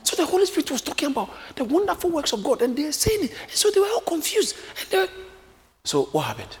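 A man preaching a sermon, lively and often acting out the voices of a crowd, joking with his congregation. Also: extremely high pitch, fast talker. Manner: animated, acts out crowd voices, jokes with congregation. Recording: echoey hall with faint room noise.

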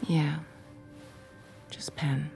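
An actress playing a bold, flirtatious, self-assured colleague in an audio drama, teasing and deliberate. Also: female voice, deep voice, flat delivery, creaky, mellow, faint music behind, exaggerated voice. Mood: seductive, teasing. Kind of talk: naturalistic audio drama acting.

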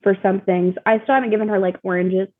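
A woman's voice: medium pitch, steady tone, fast talker, husky, phone-quality sound. A woman guest chatting casually and informally about her own experience, with a self-deprecating touch, over thin call audio. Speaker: interview guest, mother of young child. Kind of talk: casual chat.

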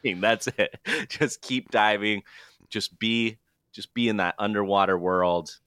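Unhurried, clear low-voiced man, podcast chat. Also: fairly even intonation, fairly bright tone, dry recording, clean studio sound. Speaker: podcast co-host. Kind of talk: casual chat.

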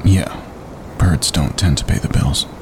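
An actor playing a weary, laconic male wildlife photographer in an audio drama, with a gravelly voice and low-key delivery. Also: a little slow. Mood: dry. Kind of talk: naturalistic audio drama acting. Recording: rumbling background noise.

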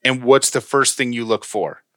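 A man's voice: mid-range voice, moderate pace, fairly bright tone, dry recording, studio quality. A man co-hosting a podcast, chatting casually and joking easily with his co-host. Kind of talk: casual chat.

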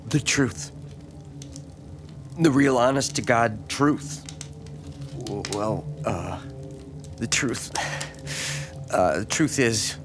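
An actor playing a lonely, timid small-town man in an audio drama, speaking haltingly with stammers and self-deprecation. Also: mid-range voice. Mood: unsure, hesitant. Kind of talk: naturalistic audio drama acting. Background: music behind the voice.

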